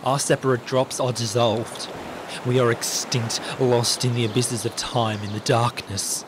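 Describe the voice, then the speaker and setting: A man's voice: medium pitch, little pitch movement, unhurried, background noise, close to an everyday voice. An actor playing a wounded, gloomy middle-aged man in a literary radio drama, solemn and poetic. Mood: bleak.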